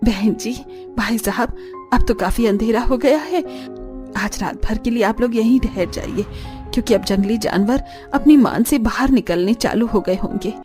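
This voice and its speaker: A woman's voice: on the high side, moderate pace, music in the background, lightly acted. A woman voicing a kindly, hospitable poor village mother in a cartoon story, caring and polite. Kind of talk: Hindi cartoon story dialogue.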